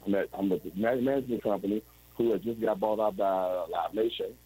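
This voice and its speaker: A man's voice: on the low side, fast, mellow, slightly rough, poor audio. A man in his forties telling his story as an interview guest over a video call, rambling and restarting often. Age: 40s. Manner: rambling, with frequent restarts and "you know what I'm saying".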